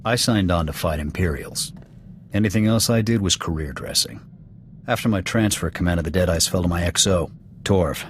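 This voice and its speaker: A man playing a gruff veteran male sniper officer speaking in clipped, matter-of-fact reports in a video game. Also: low voice, moderate pace, slight background noise, natural voice. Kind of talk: video game cutscene acting.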